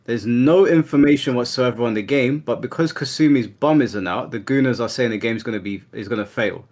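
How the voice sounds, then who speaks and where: A man's voice: mid-range voice, brisk, darkish tone. Assertive man holding the floor. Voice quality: assertive, firm. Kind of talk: heated debate.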